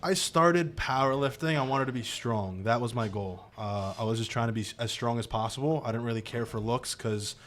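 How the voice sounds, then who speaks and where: A man in his twenties from New Jersey, a podcast guest, telling stories loudly and laughing in casual chat. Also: medium pitch, fairly quick, few pauses, slight background noise. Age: 20s. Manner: loud, laughing storyteller.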